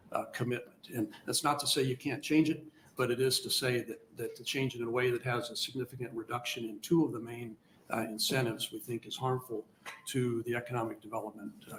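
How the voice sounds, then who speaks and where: Brief: mid-pitched man, careful witness at a hearing, faint room ambience. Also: fairly even intonation, brisk, a bit bright, a little hiss or hum, low-quality recording. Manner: careful, explanatory, with uh fillers. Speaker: economic development witness. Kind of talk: formal public testimony.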